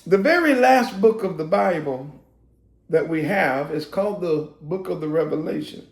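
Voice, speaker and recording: A man preaching a casual sermon, swinging from loud, laughing, shouted asides to slow, drawn-out scripture reading. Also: on the high side, expressive, echoey room. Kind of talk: street-style sermon teaching.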